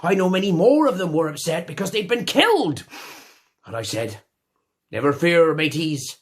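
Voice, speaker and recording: An actor playing a boisterous, noble-born pirate captain with a gravelly voice, telling hammy jokes and a dramatic tale in character. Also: male voice. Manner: booming, hammy, corny jokes and dramatic pauses. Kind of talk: theatrical in-character storytelling.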